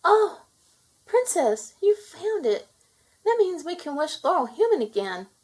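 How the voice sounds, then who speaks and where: Lady as a shy sweet mermaid kid, high soft voice, earnest, storybook read. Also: very animated, a little slow, heavily acted. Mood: excited.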